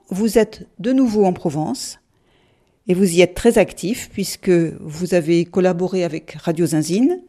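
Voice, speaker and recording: A woman hosting a radio interview, reading a prepared introduction of her guest in a measured way. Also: fairly low pitch, dark tone. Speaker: radio host.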